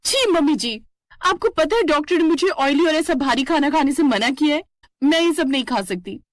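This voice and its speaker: A woman voicing a spoiled young city daughter-in-law, whiny, haughty and demanding, in an animated family story. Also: high-pitched, moderate pace, no room sound, studio quality, pitched above the performer's own voice. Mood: disgusted, complaining.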